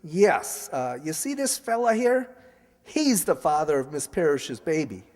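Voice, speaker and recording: An actor playing an earnest, flustered young son in love, emphatic and pleading, in a radio comedy. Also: male voice, on the high side, big pitch swings, unhurried, a little mellow. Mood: eager, flustered. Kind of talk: old-time radio comedy acting. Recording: reverberant hall, stage radio play.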